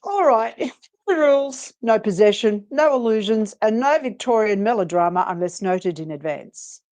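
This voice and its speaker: A woman's voice: medium pitch, lively intonation, moderate pace, darkish tone, lightly acted. A woman playing a sassy, bossy psychic ghost investigator in a live dramatic reading of a paranormal romance, with sardonic delivery. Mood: sardonic, brisk.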